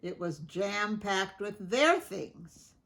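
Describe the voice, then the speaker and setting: A woman reading a picture book aloud to children, gently chatting and stopping to ask the listener questions. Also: medium pitch, animated, slow. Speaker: storybook reader.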